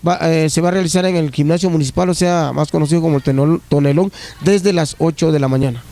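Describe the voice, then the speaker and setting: Gravelly Guatemalan official, hesitant. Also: male voice, a little high, quick pace, warm tone. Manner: hesitant, many fillers and restarts. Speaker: municipal youth unit coordinator. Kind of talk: on-site interview. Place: outdoor municipal stadium.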